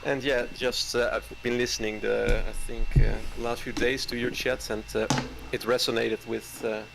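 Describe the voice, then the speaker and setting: Hesitant man, gravelly. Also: mid-range voice, level tone, over music, poor audio. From Netherlands. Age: 40s. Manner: hesitant, many pauses and fillers, soft chuckles. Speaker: caller. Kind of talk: casual chat.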